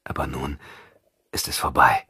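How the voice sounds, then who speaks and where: A man's voice: very deep voice, steady tone, measured pace, sharp tone, slightly rough, dry recording, clean studio sound, exaggerated voice. A man voicing a grim, protective avenging hero in an audiobook, terse and controlled. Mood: subdued, reassuring. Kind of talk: audiobook character dialogue.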